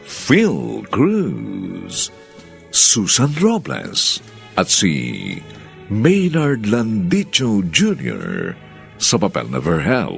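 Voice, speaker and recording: A man announcing a Filipino radio drama with theatrical, scripted narration and drawn-out phrasing. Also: lowish voice, gravelly. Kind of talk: dramatic scripted narration, reading cast credits.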